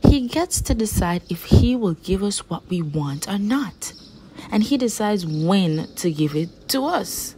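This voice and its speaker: A woman reading a children's picture book aloud as a storyteller, with gentle, deliberate, emphatic phrasing. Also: mid-range voice, expressive, a little hiss or hum.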